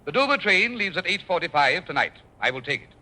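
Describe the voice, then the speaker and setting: An actor playing a dapper, precise and confident English gentleman with a British accent in a classic radio play. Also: high-pitched, big pitch swings, fast, exaggerated voice, low-quality recording. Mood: cool, confident. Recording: old radio recording, music behind.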